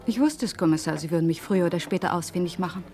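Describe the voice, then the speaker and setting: An actress playing a candid young cabaret dancer in a German radio crime drama, talking in an animated, frank way. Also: female voice, on the low side, very fast, over music, close to an everyday voice.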